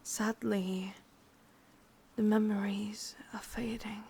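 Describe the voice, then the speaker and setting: A woman with an English accent voicing an ancient, lonely, lovesick witch in a breathy, husky whisper for an ASMR roleplay. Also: medium pitch, level tone, a little mellow, heavily acted. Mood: melancholy, yearning. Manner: slow, brooding, intimate delivery.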